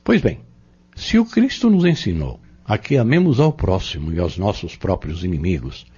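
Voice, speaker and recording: A man playing a patient, earnest Spiritist teacher in an audio drama, explaining step by step in a measured, didactic way. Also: sits low, sing-song, mellow, husky, full character voice. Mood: earnest, probing. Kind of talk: scripted audio drama dialogue. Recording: dull lo-fi audio.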